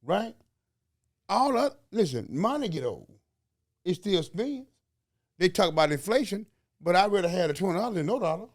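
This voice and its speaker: A man's voice: high voice, sing-song, moderate pace, pauses often, no room sound, clean studio sound. A man from Alabama with a Southern accent, hosting a podcast in a folksy, preachy way, full of slang and rhetorical questions. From Alabama, USA. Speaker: podcast host. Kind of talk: motivational podcast talk.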